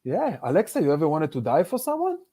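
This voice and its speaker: A man with an Israeli accent and Hebrew as his first language, doing a deadpan stand-up set over a video call with halting restarts. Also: on the high side, breathy edge. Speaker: stand-up comedian.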